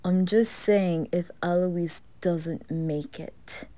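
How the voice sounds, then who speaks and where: A woman voicing a reserved, proud, aristocratic young brother in an ASMR fan roleplay, hushed, hesitant and earnest. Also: lowish voice, fairly even intonation, slow, no echo. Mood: awkward, disgusted.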